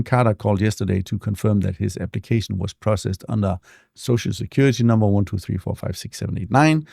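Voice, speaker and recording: Low, gravelly man explaining software. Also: dry recording, studio quality. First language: not English. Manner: relaxed, explanatory, with frequent fillers. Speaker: software demo presenter. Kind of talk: screen-share tutorial walkthrough.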